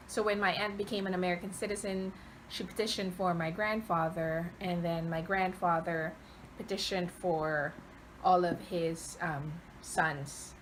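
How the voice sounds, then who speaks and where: A woman, answering interview questions conversationally and pausing often mid-phrase. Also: lowish voice, fairly bright tone, slight background noise, poor audio. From Philippines, Cavite province. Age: 30s.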